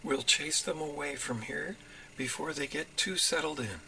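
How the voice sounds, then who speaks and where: A man's voice: a little high, moderate pace, creaky, bright, forceful tone, slight background noise, pitched above the performer's own voice, heavily acted, low-quality recording. A man voicing a grumpy, indignant chipmunk husband in a children's storybook, with a fussy, complaining manner. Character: grumpy male chipmunk husband, indignant about noisy neighbors.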